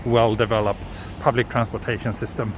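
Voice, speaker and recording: A man's voice: lowish voice, flat delivery, brisk. A man with a Swedish accent hosting a live walking tour, chatting casually and answering viewer questions with hesitant, thinking-aloud restarts. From Sweden. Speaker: livestream walking tour host. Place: city streets outdoors. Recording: outdoor traffic noise.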